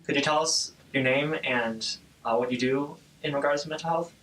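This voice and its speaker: A man hosting an interview, asking questions in a halting way with frequent uhs. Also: mid-range voice, a bit bright, low-quality recording. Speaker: podcast host.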